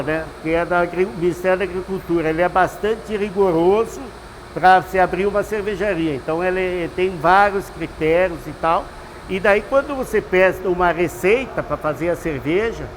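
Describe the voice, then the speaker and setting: Older man, high voice. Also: long reverb tail, a little hiss or hum, poor audio. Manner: unhurried, instructive, folksy. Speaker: master brewer. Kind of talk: explanatory interview answers.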